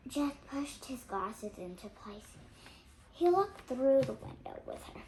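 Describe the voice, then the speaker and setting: A high, child's voice of about eight reads a storybook aloud. The pace is slow and halting, with small stumbles and corrections, while the pitch moves in lively swings. There is some background noise. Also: low-quality recording. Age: child, 8 years old. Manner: halting, stumbles over words and self-corrects. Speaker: young reader on a read-aloud channel.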